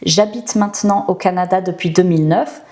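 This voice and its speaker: A woman's voice: fairly low pitch, fairly even intonation, moderate pace, a little mellow. A middle-aged woman from France with a French accent, teaching the language and thinking aloud through rhetorical questions. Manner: reflective, asks herself rhetorical questions. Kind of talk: explanatory talk to camera.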